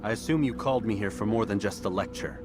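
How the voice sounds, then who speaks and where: A low-pitched, solemn young assassin from a video game, acted by a man, proud and questioning. He speaks in stiff, formal phrases, with music playing behind his voice. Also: fast, lightly acted. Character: proud, doubting young medieval assassin, earnest and defiant. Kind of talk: video game cutscene dialogue.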